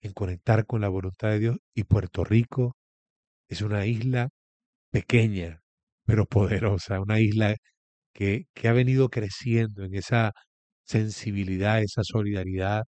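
A man's voice: on the low side, fairly even intonation, normal speed, a little mellow, dry recording. A man from Venezuela, a guest pastor giving his testimony in an interview in an earnest, preacherly storytelling way.

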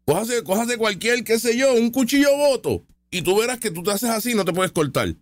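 A man's voice: high-pitched, expressive, normal speed, no room sound. A man hosting a live commentary show, reacting to clips in a sarcastic, heated and argumentative way. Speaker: online commentary host.

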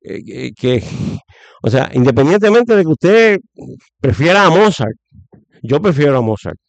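A Puerto Rican man with a Puerto Rican accent, giving forceful opinions as a guest, with lots of fillers and restarts. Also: fairly high pitch, very animated, unhurried, gravelly, no echo, highs cut off. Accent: Puerto Rican Spanish. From Puerto Rico. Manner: emphatic, many fillers and restarts. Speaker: guest commentator. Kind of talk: opinionated conversational commentary.

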